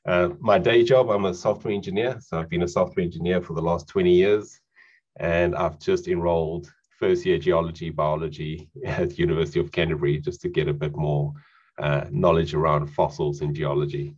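South african guy talking casually on a video call, low husky voice, kinda muffled audio. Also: fairly quick. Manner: relaxed, conversational, frequent fillers. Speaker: presenter. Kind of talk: online presentation talk. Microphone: video call microphone.

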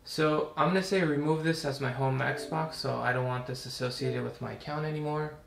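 Gravelly-voiced young man, roomy home recording. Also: a little high, brisk, slight background noise. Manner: relaxed, chatty, talks while working. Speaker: tutorial host. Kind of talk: casual step-by-step tutorial. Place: living room with TV.

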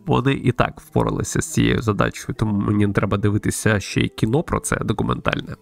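A young man from Ukraine thinking aloud as he streams a game, with hesitant pauses and wry joking. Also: on the low side, steady tone, creaky. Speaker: streamer playing a visual novel. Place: small room at home with string lights. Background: game music.

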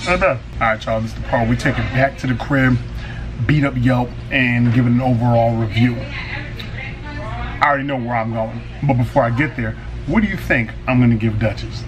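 A man in his forties casually reviewing food on camera, slangy and animated, reading his own written review aloud with flourish. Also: medium pitch, normal speed, reverberant, rough recording. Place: small restaurant dining room, indoors.

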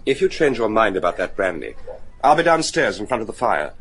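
A man's voice: fairly low pitch, sing-song, a little fast, fairly bright tone, husky, full character voice. A man playing a bitter, haunted young heir of a gloomy manor in a gothic radio drama, formal, evasive and ominous. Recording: noisy radio drama track with ambience.

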